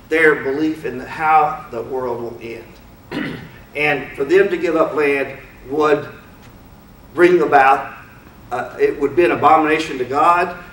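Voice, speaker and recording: A man's voice: on the high side, a little hiss or hum. Man lecturing in an echoey hall. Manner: conversational, asks many rhetorical questions; opinionated, speaks frankly. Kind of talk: informal lecture to an audience. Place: lecture room. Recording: roomy hall, mic far off.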